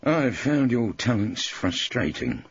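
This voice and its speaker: A man voicing a gloomy, grudging grey-haired police inspector who talks in a slow, stolid grumble. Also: medium pitch, big pitch swings, breathy edge, highs cut off, voice pushed up, full character voice. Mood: grumbling.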